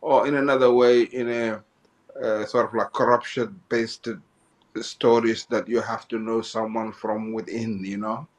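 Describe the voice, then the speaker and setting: A man from Somalia, a guest in an interview telling his life story, often halting and repeating phrases as he goes. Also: fairly low pitch, level tone.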